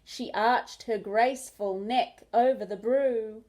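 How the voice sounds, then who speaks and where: A woman narrates a picture book for children. Her delivery is expressive and very measured. Her voice is on the high side. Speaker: children's storyteller.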